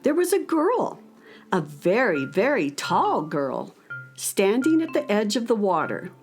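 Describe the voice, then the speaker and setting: A woman narrating a scripted children's story in an expressive storytelling manner. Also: medium pitch, unhurried, soft background music. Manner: storytelling, wonder-filled.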